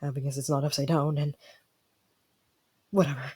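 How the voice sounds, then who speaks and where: A woman playing a proud young ice prince in an ASMR fantasy roleplay, haughty and stammering when flustered, then softening. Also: deep voice, steady tone, moderate pace, background noise, pitched below the performer's own voice, close to an everyday voice.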